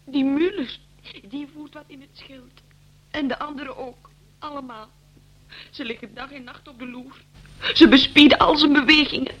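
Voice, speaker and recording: A woman's voice: sits high, fairly even intonation, normal speed, pauses often, lo-fi sound, close to an everyday voice. An actress in a radio play playing an anxious, plaintive woman who pleads fearfully for her partner, on a noisy old recording. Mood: fearful, suspicious. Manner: plaintive, imploring.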